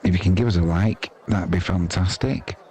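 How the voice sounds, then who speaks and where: A man's voice: deep voice, normal speed, darkish tone. A man with an English accent hosting a live cricket stream, chatting informally and self-deprecatingly to viewers in colloquial language, with crowd noise behind him. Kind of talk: informal sports commentary and chat to viewers.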